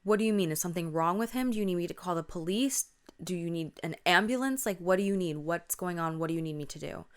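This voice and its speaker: A woman's voice: lowish voice, quick pace, clean tone, lightly acted. A woman voicing a bewildered, concerned young woman on a late-night call, asking questions and reassuring in casual, hesitant speech. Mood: alarmed concern. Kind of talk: spoken scary-story dialogue.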